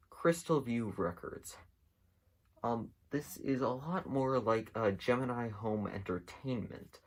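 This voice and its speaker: A man talks through his commentary in a very low voice with a heavy fry. He hosts casually and without a script, taking his time and often pausing as he rambles from thought to thought. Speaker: host of an internet mystery series. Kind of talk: casual unscripted video commentary.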